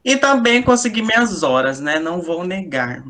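A young man around twenty with a Brazilian accent talks casually to a group. His voice is a little high and cuts through with a bright, sharp tone. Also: very animated, normal speed. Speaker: book club member, engineering student. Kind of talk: casual self-introduction.

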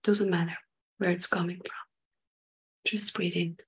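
A woman leading an unscripted guided meditation in short halting phrases, with a breathy voice that sometimes nears a whisper. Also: lowish voice, level tone.

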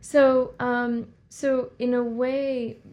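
A woman with a mellow, warm tone talks through her thoughts as an interview guest. Her first language is Hindi, and she grew up between India and Puerto Rico. She muses aloud, restarting phrases and leaning on fillers as she goes. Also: a little high, flat delivery, extremely slow. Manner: reflective, rambling with many fillers and restarts. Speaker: guest, artist and director. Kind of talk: conversational interview.

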